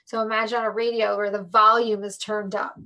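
A woman speaking as a podcast interview guest, reflective and warm in tone, laughing often and addressing the listeners directly. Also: medium pitch, little pitch movement, a little fast, poor audio.

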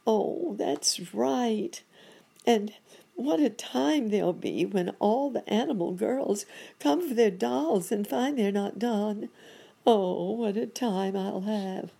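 Woman voicing a fretful, high-pitched monkey doctor. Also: takes it slowly, vocal fry, dark tone, husky, exaggerated voice. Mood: despairing. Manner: exclaiming, lamenting, wordy and polite. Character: flustered, self-pitying male monkey doctor of dolls.

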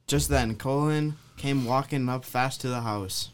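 A man narrating a folktale for a radio drama as an expressive storyteller. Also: medium pitch, unhurried, clean tone, dry recording.

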